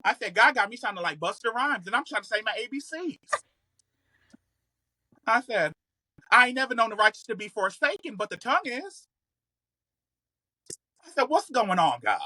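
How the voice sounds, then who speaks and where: A man's voice: very high voice, very animated, normal speed, pauses often, sharp tone, no echo. A man in his thirties chatting casually as a podcast guest, telling stories in a sassy, slangy, emphatic and comedic way. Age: 30s. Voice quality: occasional slight stutter. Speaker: podcast guest, content creator. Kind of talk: casual, animated storytelling.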